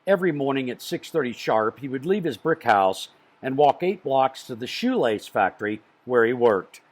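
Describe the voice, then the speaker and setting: A man reading a children's storybook aloud in an expressive way, with dramatic pauses. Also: medium pitch. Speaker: storybook reader.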